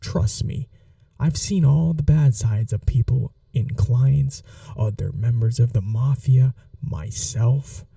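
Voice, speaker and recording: A man playing a calm, flirty, protective mafia boss in a soft-spoken ASMR roleplay, unhurried and reassuring with a teasing edge. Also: medium pitch, vocal fry, dry recording, recorded from a distance. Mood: firm, protective.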